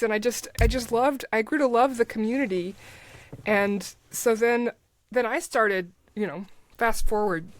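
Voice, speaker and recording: A woman's voice: medium pitch, no room sound. An American woman chatting casually as an interview guest, thinking aloud as she explains things informally. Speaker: podcast guest, voice actor and advertising project manager.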